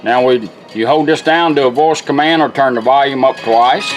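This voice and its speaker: A middle-aged man walks through a gadget at a workbench. He is plain-spoken and folksy, explaining step by step at an ordinary pitch. Music plays clearly behind him. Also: moderate pace. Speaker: vintage car radio technician demonstrating a product. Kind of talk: casual hands-on product demonstration. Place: indoor workshop bench. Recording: music and radio playing behind. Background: music from the demonstrated radio.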